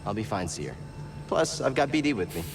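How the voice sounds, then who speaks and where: A man's voice: fairly low pitch, big pitch swings, fairly quick, gravelly, noisy background. A young man playing an earnest, determined Jedi in a video game, speaking in an understated, sincere way. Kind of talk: cinematic video game acting. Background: music.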